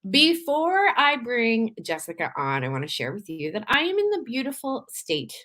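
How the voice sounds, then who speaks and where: A woman from Canada hosting a live show, talking in a chatty, animated way with frequent laughs and restarts, her voice a little tired from days of talking. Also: fairly high pitch, moderate pace. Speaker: podcast host. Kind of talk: casual live-stream monologue.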